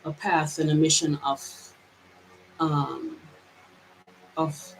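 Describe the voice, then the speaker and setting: A woman's voice: low-pitched, steady tone, extremely slow, vocal fry, slight background noise, rough recording. A woman from Jamaica with a mixed Jamaican and American accent, giving a reflective personal vlog monologue in a hesitant, searching way with many restarts. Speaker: vlogger.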